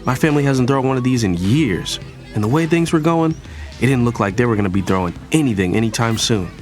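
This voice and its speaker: A man's voice: mid-range voice, expressive, brisk, close to an everyday voice. A young man acting as a playful, idealistic younger brother, narrating his own story in a scripted audio drama. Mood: reflective, storytelling. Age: young adult. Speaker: narrator and character. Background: music.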